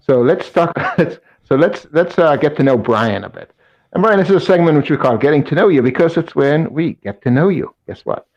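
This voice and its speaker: A man's voice: fairly high pitch, animated, fairly quick, a little mellow. A man co-hosting a casual chat, often stumbling and repeating words as he starts a sentence. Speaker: co-host. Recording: dull lo-fi audio.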